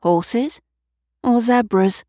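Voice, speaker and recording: A woman's voice: fairly high pitch, drawn-out words, warm tone, dry recording, clean studio sound, voice pushed up. Woman putting on a weak, weary old man. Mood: weary, terse. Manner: terse, weary. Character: Eric, frail, grieving, secretive old man.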